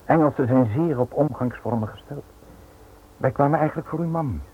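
An actor playing a suave foreign caller in a radio play, courteous, sly and insistent. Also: male voice, on the high side, fairly quick, slightly rough. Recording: tinny, noisy old radio drama recording.

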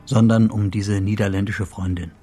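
An actor playing a sober, bereaved husband in a radio play, speaking in a subdued, matter-of-fact and slightly halting way. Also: male voice, lowish voice, flat delivery, dark tone, slightly rough, faint music behind. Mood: sober, informative. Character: bereaved husband, a sober man reporting his wife's death.

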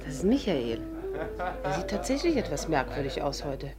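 Witty woman, low-pitched. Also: sing-song, moderate pace, over loud music. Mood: concerned, friendly. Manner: wry, articulate. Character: witty, spirited, worldly woman narrating her adventures. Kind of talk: 1950s German radio drama acting and narration.

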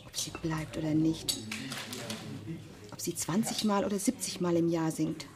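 A woman's voice: low voice, fairly even intonation, normal speed, background noise, lightly acted. An actress playing a lonely, insecure and restless young woman thinking to herself in a radio play. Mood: bored, bitter. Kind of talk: radio play acting, inner monologue.

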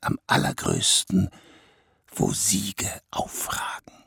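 An actor playing a proud, brooding, weary seventeenth-century ruler in a radio play, pompous in manner, with a gravelly, husky voice. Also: male voice, fairly bright tone, no echo, studio quality. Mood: grandiose. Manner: pompous, slow, speaks in royal we. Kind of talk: German radio play monologue.

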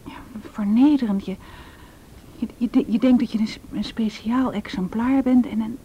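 An actress playing an uneasy, introspective activist in hiding in an intimate radio drama, soft and near whispering, halting as she searches for words, with a Netherlands Dutch accent. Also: steady tone, lo-fi sound, natural voice. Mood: confiding, uneasy. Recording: noisy radio drama recording, low rumble.

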